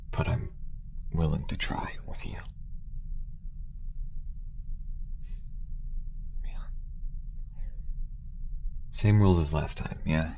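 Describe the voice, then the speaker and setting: A man playing a gruff, devoted alien smuggler in an intimate audio roleplay, speaking haltingly, tenderly and often hushed, with a deep gravelly voice. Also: slight background noise, exaggerated voice.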